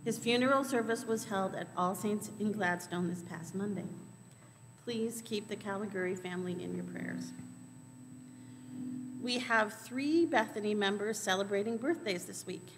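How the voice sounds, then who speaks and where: A middle-aged woman at a lectern microphone reads the weekly church announcements, measured and steady, her voice at an ordinary middle pitch. The big sanctuary gives her words a long trailing reverb, with a faint hiss of noise underneath. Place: large church sanctuary, indoors.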